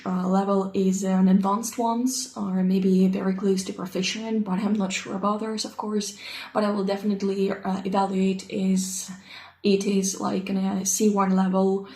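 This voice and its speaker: A woman in her thirties, a native Russian speaker with a Russian accent, introducing herself as an English teacher and pausing often with ums and uhs as she searches for words. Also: medium pitch, darkish tone. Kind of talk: unscripted self-introduction. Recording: echoey room.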